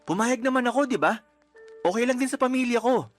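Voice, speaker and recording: An actor playing a principled, conservative young boyfriend in a Filipino radio drama, speaking in an earnest, reproachful and protesting manner. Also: male voice, very high voice, a little fast, soft background music.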